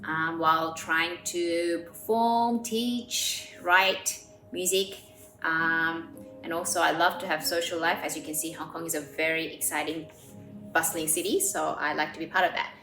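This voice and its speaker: A woman in her thirties from South Korea, a pianist speaking earnestly in a documentary interview, pausing with frequent ums. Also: low-pitched, fairly even intonation. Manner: earnest and warm, with frequent "um" pauses. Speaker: pianist and composer. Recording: roomy sound with faint music behind.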